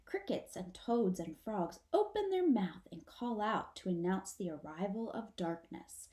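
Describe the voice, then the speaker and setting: A woman narrating a children's story aloud in an expressive read-aloud style. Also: mid-range voice. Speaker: storybook reader. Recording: roomy, echoing sound.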